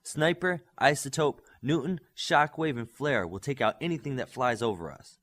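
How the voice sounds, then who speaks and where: A man's voice: medium pitch, lively intonation, moderate pace, a bit bright. A man voicing a young, earnest superhero squad leader in an audiobook, giving orders in an emphatic briefing style. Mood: commanding. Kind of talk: audiobook character dialogue.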